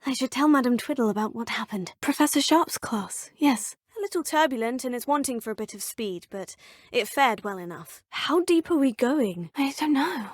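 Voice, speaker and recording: A courteous young student witch from a video game, her tone polite and composed. Her voice sits a little high, soft and breathy. It is recorded dry and close, with no room sound at all. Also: lively intonation, moderate pace, dry recording. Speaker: player character. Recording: dry studio booth.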